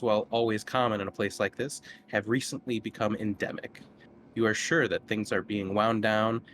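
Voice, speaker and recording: A man running a tabletop horror game as game master, setting the scene in measured narration. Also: medium pitch, a bit bright, slight background noise.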